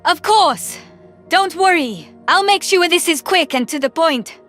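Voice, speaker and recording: A woman's voice: very high-pitched, expressive, a little slow, a bit bright, soft background music, heavily acted. A woman playing a polite, eager human aide, deferential and earnest, in a science fiction audio story.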